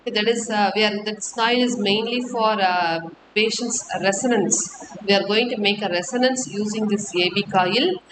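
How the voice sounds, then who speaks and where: A woman with an Indian accent gives a technical lecture, explaining step by step in halting, repetitive phrasing. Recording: echoey room with clear rumbling noise.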